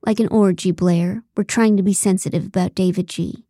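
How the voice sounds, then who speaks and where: A woman's voice: mid-range voice, brisk, gravelly, dark tone, dry recording, clean studio sound. A woman voicing a controlling female TV producer in an audiobook, clipped, tight and sarcastic. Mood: terse.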